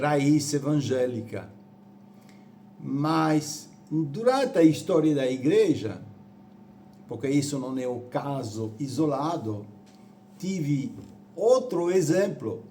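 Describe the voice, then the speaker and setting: A man from Rome with an Italian accent, preaching in halting, deliberate phrases with repetitions. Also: medium pitch, mellow, slight background noise. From Rome, Italy. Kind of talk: sermon on church history.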